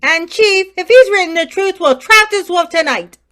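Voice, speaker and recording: A woman playing an earnest police inspector, brisk and emphatic, in an old-time radio crime drama. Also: very high voice, animated, sharp tone, full character voice. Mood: earnest, determined. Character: earnest police inspector and pleading robbery victim.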